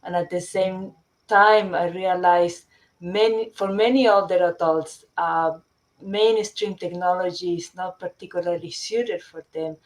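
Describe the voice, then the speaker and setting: A middle-aged woman from Chile, a company founder presenting her product in a webinar, often pausing with fillers and restarting sentences. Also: on the low side, steady tone, poor audio. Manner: hesitant, many fillers and restarts.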